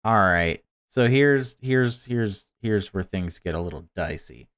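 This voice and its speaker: A man's voice: on the low side, lively intonation, measured pace, a bit bright. A man hosting a gaming video, joking around and swearing casually in rambling let's play commentary.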